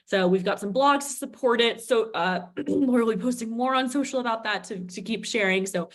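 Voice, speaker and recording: A woman, a marketing vice president, presenting on a company call with a slight rasp from a head cold, chatty and encouraging. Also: fairly high pitch, moderate pace. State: head cold, a little raspy.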